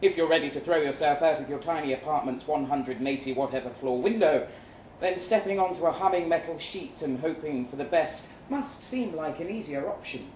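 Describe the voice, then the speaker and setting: A man reading a first-person short story aloud dramatically, with measured delivery and deliberate pauses. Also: a little high, darkish tone. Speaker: short story reader at a live event. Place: event room in a pub. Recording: roomy, distant mic with faint noise.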